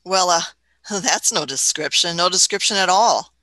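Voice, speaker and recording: A woman's voice: lowish voice, a little slow, pushed and bright, no room sound, poor audio. A woman playing a canny, politely skeptical traveling doctor in a radio play, hesitant and old-fashioned in manner. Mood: bemused, musing.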